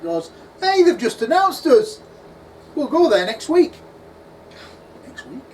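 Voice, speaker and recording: A man speaks to a small audience in a pub function room, his voice sitting high for a man. He tells his story with energy and now and then slips into imagined voices. The mic is some way off, and faint room noise sits under him. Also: recorded from a distance, low-quality recording. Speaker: conference speaker. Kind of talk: conference talk to an audience.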